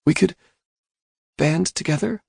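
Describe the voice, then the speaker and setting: A man's voice: fairly high pitch, unhurried, gravelly, darkish tone, husky, no room sound, clean studio sound, pitched above the performer's own voice, heavily acted. A man voicing a guarded young woman who talks in a hesitant, earnest way, a storybook figure. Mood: shy. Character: guarded young woman, wary yet hopeful.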